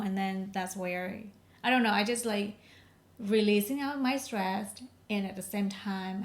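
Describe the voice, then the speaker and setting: A woman from Vietnam, co-hosting a podcast with her husband in casual, phrasing. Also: medium pitch. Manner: warm, non-native phrasing, frequent laughs. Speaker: podcast co-host. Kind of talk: casual chat.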